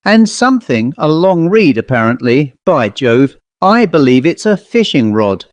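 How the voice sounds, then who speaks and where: A man's voice: a little high, big pitch swings, measured pace, dry recording. A man voicing a jovial, confident country squire in a Victorian ghost story, with hearty, exclamatory delivery. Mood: hearty, curious. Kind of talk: audiobook character reading.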